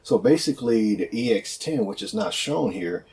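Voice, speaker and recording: A man's voice: lowish voice, animated, normal speed, darkish tone. A man casually explaining a product in an unscripted, conversational way, repeating himself as a presenter. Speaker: product presenter for a loudspeaker maker.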